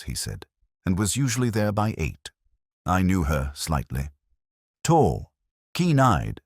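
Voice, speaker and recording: A man playing an older, erudite detective, narrating a mystery in a reflective, measured, literary and wistful manner. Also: deep voice, no echo, studio quality, full character voice. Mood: melancholy, pensive. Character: melancholy, erudite older male English detective recalling a case. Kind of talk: reflective scripted first-person mystery narration.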